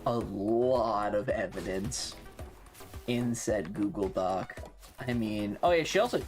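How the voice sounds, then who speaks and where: A woman streaming a game and chatting casually in a sweary, offhand, conversational way. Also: deep voice. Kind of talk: casual livestream chat while gaming.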